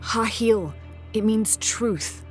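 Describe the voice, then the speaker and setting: An actress playing a determined, inquisitive young female archaeologist in a measured, scholarly way for a video game cutscene. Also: a little high. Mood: thoughtful. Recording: music under the voice.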